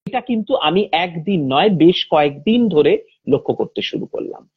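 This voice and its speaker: A woman retelling real-life ghost stories in a conversational, unhurried way, lingering on details and quoting people as she goes. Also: low voice, animated, breathy edge, dry recording, narrow, tinny audio. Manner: unhurried, detailed, acting out quoted speech. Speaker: host of a supernatural story chat.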